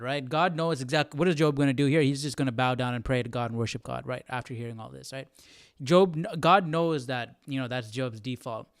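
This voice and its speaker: A man's voice: on the high side, dry recording, clean studio sound. A man hosting a livestreamed study session, chatting informally with exclamations and repeating himself for emphasis. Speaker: Bible study livestream host. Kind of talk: casual chat commentary.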